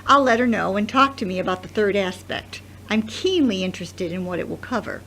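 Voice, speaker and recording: A woman playing an exacting, probing boss in an audio drama, brisk and formal, with some noise on the recording. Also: medium pitch, lively intonation, a bit bright, poor audio. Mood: approving, businesslike.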